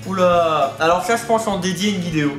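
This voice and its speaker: A high-pitched male voice, talkative and rambling as he hosts a casual video. The room echoes a little and soft music plays behind him. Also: fairly quick. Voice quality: atypical articulation, slight lisp-like speech impediment. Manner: talkative, rambling, repeats himself. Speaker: YouTube host of a reptile hobby channel. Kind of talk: casual chatty FAQ video. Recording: roomy echo, faint music behind.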